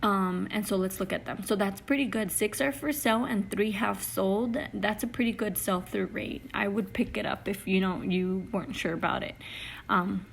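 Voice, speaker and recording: Young woman, Spanish accent, gravelly voice, casual how-to vlog, faint background hiss. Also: medium pitch, moderate pace. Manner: chatty, often adds "you know" and "okay?". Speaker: eBay reseller. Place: living room with a couch, indoors.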